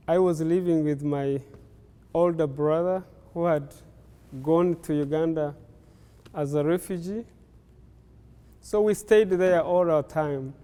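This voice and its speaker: Slow, unhurried older Rwandan man. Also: a little high, warm tone, clear, resonant tone, long reverb tail. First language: Kinyarwanda. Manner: unhurried, pausing often with fillers. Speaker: interviewee. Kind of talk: slow life-story recollection.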